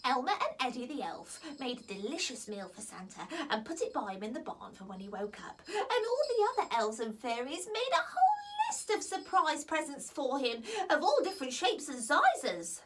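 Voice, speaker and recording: A woman reads a children's story aloud in a high, playful voice. Her delivery swoops widely through the lines. She drops into soft whispers for the quiet moments. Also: normal speed, few pauses, recorded from a distance, a little hiss or hum, poor audio. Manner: expressive, with whispers and laughs.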